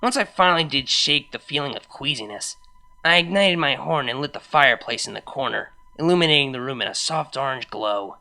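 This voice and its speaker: A brash young unicorn mare, played by a reader, tells her own story with a very bright, forceful edge. She is wry and confiding, chatting to the listener like a friend in a storybook reading, expressive and openly fond of her partner. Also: medium pitch, a little fast, gravelly. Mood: amused disgust. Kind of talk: first-person fan fiction reading, conversational.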